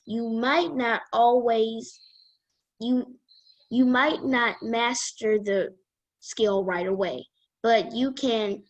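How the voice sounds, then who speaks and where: A woman guest reader reading a children's book aloud in a deliberate way. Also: fairly high pitch, muffled recording.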